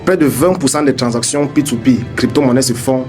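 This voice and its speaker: A young man, an English speaker by birth, guesting on a radio interview and talking quickly with many halting restarts. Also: mid-range voice, darkish tone, soft background music. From Cameroon. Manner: fast, halting talk with many restarts and repeated words. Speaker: radio guest, crypto entrepreneur. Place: radio studio.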